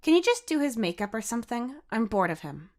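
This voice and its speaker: A woman's voice: on the high side, big pitch swings, brisk, a little mellow, pitched above the performer's own voice. A woman voicing a feisty, sarcastic teenage girl in an audiobook story, snappy and easily irritated. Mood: mocking, irritated. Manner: snappy, mocking.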